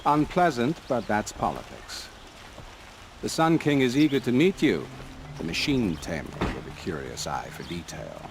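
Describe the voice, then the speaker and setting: A man playing a courtly royal advisor in a video game, speaking in a formal, wry, measured way. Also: fairly low pitch, big pitch swings, vocal fry, background noise. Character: smooth, courtly male spymaster advisor to a king. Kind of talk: video game cutscene acting.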